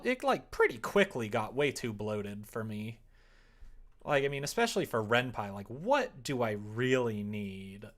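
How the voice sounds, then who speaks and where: A man's voice: fairly low pitch, vocal fry. A man casually livestreaming as he works on a visual novel, rambling and muttering to himself with joking asides. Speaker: live streamer developing a visual novel. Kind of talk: casual livestream chat while working.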